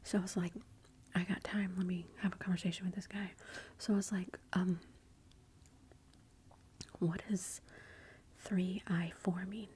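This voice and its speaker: A woman's voice: lowish voice, level tone, unhurried, pauses often, slight background noise. A woman, whispering a rambling, amused story in ASMR style. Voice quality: soft whisper. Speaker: ASMR vlogger.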